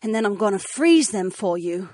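A man voicing an exhausted young blonde princess with time magic, speaking slowly and breathlessly. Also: sits high, animated, darkish tone, no echo, studio quality, voice pushed up. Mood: determined. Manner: slow, breathless and strained.

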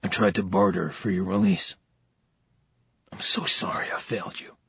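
A man voicing a brooding, cursed male dragon shifter who speaks tenderly and solemnly in a hushed tone. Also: fairly even intonation, normal speed, muffled recording, exaggerated voice. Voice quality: gravelly, husky low voice.

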